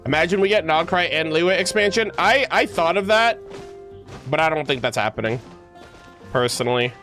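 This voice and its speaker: A man's voice: a little high, lively intonation, normal speed, fairly bright tone. A man streaming, chatting casually and rambling as he thinks aloud to his viewers. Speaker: livestreamer, host. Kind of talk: casual livestream chat. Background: music.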